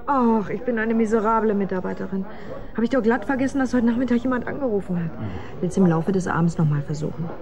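An actress playing a detective's loyal, plain-spoken secretary in a radio drama, casual and direct. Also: female voice, medium pitch, fast talker, highs cut off, a crowd in the background, natural voice. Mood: casual, apologetic.

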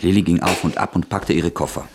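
A man with a husky voice reading scripted literary narration as the narrator of a radio drama. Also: sits low, fairly even intonation, fairly quick, background noise.

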